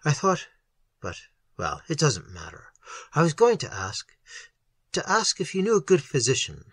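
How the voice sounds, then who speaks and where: Man acting a tormented, halting explorer with swooping pitch. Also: wildly swooping pitch, a bit bright, husky, no echo, studio quality, exaggerated voice. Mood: timid, halting. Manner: halting, moaning and sobbing, anguished. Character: tormented, trembling male explorer back from captivity. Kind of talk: dramatic horror audiobook acting.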